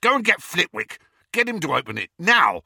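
A man voicing a brutish, hot-tempered, cowardly villain wizard who bellows in rough, ungrammatical speech, in an audiobook. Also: medium pitch, very animated, measured pace, pushed and bright, dry recording, clean studio sound, voice pushed up, heavily acted. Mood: furious, demanding. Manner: bellowing, uneducated non-standard grammar.

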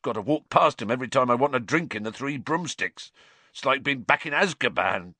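A huge male groundskeeper, tearful and haunted, speaking in rough dialect, as a male reader puts him on. The voice swings widely in pitch, low and mournful. Dry, close sound. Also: expressive, fairly quick, sharp tone, no room sound. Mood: gloomy.

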